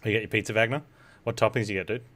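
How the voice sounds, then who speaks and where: Very low-voiced woman streaming a game. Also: steady tone, racing, a bit bright, a little hiss or hum. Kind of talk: casual live-stream chat over gameplay.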